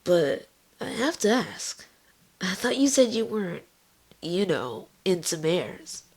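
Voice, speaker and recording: A cool, guarded party pony played by a woman with a husky voice. She talks slowly and tersely, in a matter-of-fact, deadpan way, as in an audio fan drama. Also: lowish voice, full character voice. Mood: relieved, casual.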